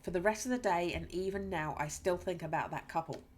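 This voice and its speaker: A woman's voice: lowish voice, a little fast, a little mellow, slight background noise, close to an everyday voice. A woman with a stuffy nose reading aloud the inner thoughts of a cold, fear-hungry young musician in a game.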